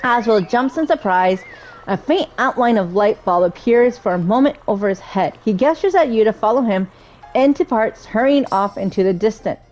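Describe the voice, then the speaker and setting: A woman's voice: a little high, lively intonation, normal speed, darkish tone, soft background music, rough recording. A woman hosting a gaming playthrough, commenting casually and reading game text aloud. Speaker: gaming video host.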